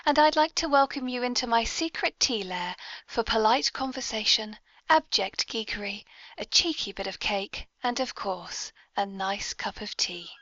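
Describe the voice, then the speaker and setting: An English woman with a highish voice hosts in a playful, courteous way. Her delivery is whimsical and chatty. The sound is dull and lo-fi, like an amateur podcast. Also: a bit bright, dry recording. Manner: playful, polite. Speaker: podcast host. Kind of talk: whimsical hosting and chat.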